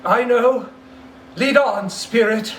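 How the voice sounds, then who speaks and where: A man's voice: extremely high pitch, slow, fairly bright tone, roomy sound, distant mic, slight background noise, voice pushed up, exaggerated voice. A man playing a frightened, pleading old miser in a dramatic storybook reading, with an imploring manner. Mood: urgent resolve.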